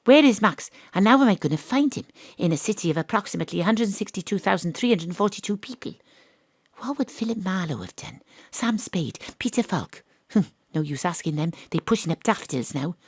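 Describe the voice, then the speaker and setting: A world-weary female detective in an audio drama. Her voice sits a little low and is dryly recorded. She narrates with wry, deadpan noir delivery, like someone who has seen it all. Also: brisk, slightly rough, dry recording. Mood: wry, brooding. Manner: deadpan, dry. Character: female private detective, world-weary, wry, hard-boiled ex-rugby star.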